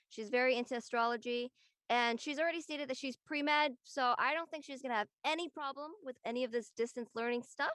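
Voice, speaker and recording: A woman's voice: a little high, a bit bright, dry recording, studio quality. A woman guest on a panel, explaining her thoughts in a casual, conversational way. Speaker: actor on a drama panel.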